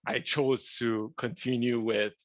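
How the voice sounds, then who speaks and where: A man's voice: medium pitch, fairly even intonation, no room sound, lo-fi sound. Rambling man. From Hawaii. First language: Hawaiian. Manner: thoughtful, rambling, many pauses and restarts. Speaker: podcast co-host. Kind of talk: casual podcast chat.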